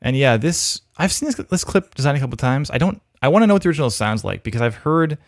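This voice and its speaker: A man's voice: medium pitch, expressive, fast talker, a little hiss or hum. A man hosting a livestream, casually thinking aloud as he gives frank critique of demo reels on a close mic. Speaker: host reviewing demo reels.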